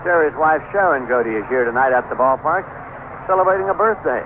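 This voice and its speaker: Through heavy noise and a thin, narrow radio band comes a male baseball announcer. He has a slightly high voice and calls the game in measured, short descriptive phrases, in the manner of 1970s sports radio. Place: ballpark broadcast booth. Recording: noisy, narrow-band old AM radio broadcast.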